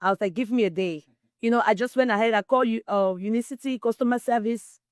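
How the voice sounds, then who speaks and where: A woman's voice: on the high side, quick pace, no room sound, clean studio sound. A middle-aged woman from Cameroon, a guest in an interview, telling her personal story in an earnest, testimonial way. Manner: earnest, testimonial, quotes herself often. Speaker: guest, wellness distributor.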